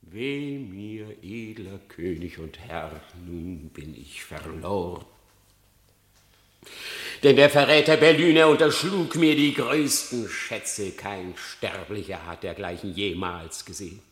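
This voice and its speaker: An actor playing a sly, flattering fox who pleads at a royal court in theatrical, wheedling verse for a radio drama. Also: male voice, fairly low pitch, slow, roomy sound. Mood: lamenting. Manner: theatrical, wheedling, grandly rhetorical. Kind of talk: classic German radio drama verse acting.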